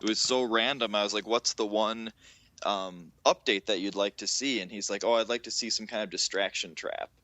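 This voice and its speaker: A man co-hosting a gaming podcast, joking and telling stories in a casual, animated way. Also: on the low side, normal speed, no echo. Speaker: podcast co-host. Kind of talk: casual chat.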